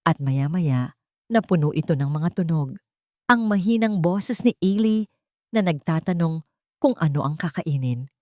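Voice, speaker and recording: A woman's voice: on the low side, a little mellow, no echo, studio quality. A woman narrating an audio drama as a scripted, dramatic story.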